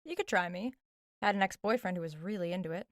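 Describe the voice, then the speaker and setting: A woman's voice: medium pitch, fast, no room sound, close to an everyday voice. Skeptical, curious young woman, played by an actress, dry sound. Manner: casual, incredulous, dryly probing. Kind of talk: audio drama dialogue.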